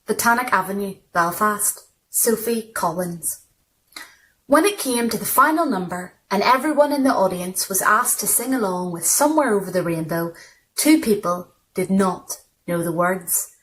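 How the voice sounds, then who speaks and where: A woman's voice: mid-range voice, animated, normal speed, a bit bright. Female story reader, mid-range voice, scripted literary narration, slightly noisy recording. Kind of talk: literary short story narration, read aloud.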